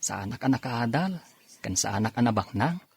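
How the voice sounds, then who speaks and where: A man's voice: mid-range voice, lively intonation, fairly quick, vocal fry, slightly rough, dry recording, studio quality, heavily acted. A man playing an earnest young provincial suitor in a radio drama, speaking with an Ilocano accent. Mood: pleading. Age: young adult. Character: earnest 24-year-old provincial man courting an older woman.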